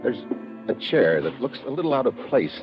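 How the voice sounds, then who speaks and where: A man's voice: mid-range voice, sing-song, brisk, lightly acted. An actor playing a terse, wry, suspicious American investigator who narrates his own case in old-time radio detective style. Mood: tense narration. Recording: dull vintage radio recording with music.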